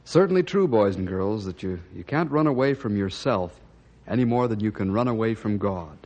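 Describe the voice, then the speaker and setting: A man's voice: on the low side, lively intonation, normal speed, darkish tone, lo-fi sound. A man narrating an old-time radio adventure show, giving a moral to young listeners in a scripted style. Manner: moralizing, addressing children.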